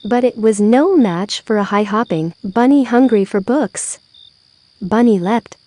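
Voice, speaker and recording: A woman narrating a children's story aloud, with expressive, deliberate phrasing. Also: a little high.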